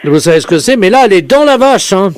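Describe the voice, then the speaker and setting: A man playing an earnest gendarme on a prank call, deadpan and insistent as he explains. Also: high-pitched, quick pace, dry recording. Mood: urgent, deadpan. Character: earnest male gendarme reporting an absurd emergency.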